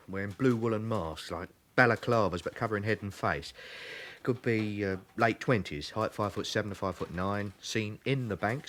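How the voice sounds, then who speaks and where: A wry, plain-spoken detective constable in a radio crime drama, played by a male actor. His voice is fairly low, with a colloquial, deliberate delivery. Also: fairly bright tone, a little hiss or hum, lightly acted. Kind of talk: naturalistic radio drama acting.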